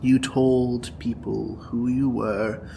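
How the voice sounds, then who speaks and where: A man's voice: mid-range voice, level tone, slow, mellow. An actor playing a bitter, wounded young male supervillain in an audio drama, taunting and dramatic in manner. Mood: bitter, accusing. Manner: taunting, dramatic, profane, breaking into whispers and laughter. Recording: clear background noise and ambience.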